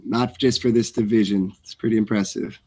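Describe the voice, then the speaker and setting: A man's voice: fairly low pitch, steady tone, fairly quick, darkish tone, husky. A man chatting casually as co-commentator on a live fight broadcast.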